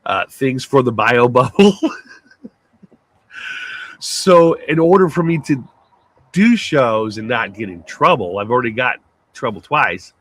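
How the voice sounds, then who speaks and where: A man with a gruff voice co-hosting a casual live talk show, loose and laughing, swearing freely. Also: mid-range voice, animated, a little slow, pauses often, fairly bright tone. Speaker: co-host. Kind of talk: casual live-stream chat.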